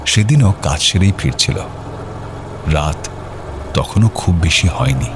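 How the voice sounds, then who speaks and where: A man's voice: low-pitched, lively intonation, takes it slowly, noisy background. A man narrating an audio drama as storyteller, in a dramatic scripted reading style. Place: studio. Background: music.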